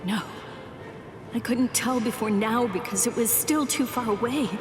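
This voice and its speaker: A woman voicing an earnest, distraught young captive warrior in an anime game dub, halting and intense. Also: fairly high pitch, lightly acted. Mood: anguished. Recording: echoey hall reverb, music behind.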